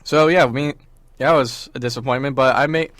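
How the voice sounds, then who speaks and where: A young man hosting a college radio show, chatting casually and slangily, with animated storytelling. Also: mid-range voice, brisk, no echo. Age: young adult. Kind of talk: casual chat.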